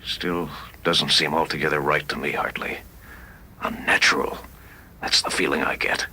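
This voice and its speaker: Man doing a gruff, raspy old-town mayor. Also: a bass voice, steady tone, moderate pace, bright, forceful tone, pitched below the performer's own voice, heavily acted. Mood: uneasy, disapproving. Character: gruff, uneasy, disapproving small-town mayor.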